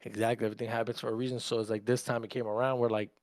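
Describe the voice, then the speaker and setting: A man's voice: medium pitch, little pitch movement, rapid-fire, darkish tone, no echo. Young man in dry, casual podcast chat. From Argentina, raised in Louisiana, USA. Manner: slangy, frequent swearing. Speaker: podcast co-host and content creator.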